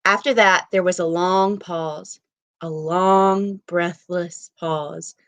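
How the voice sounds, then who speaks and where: A woman reading a short story dramatically, with measured, deliberate narration. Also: fairly low pitch, steady tone, fairly bright tone, dry recording. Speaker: reader at a literary event.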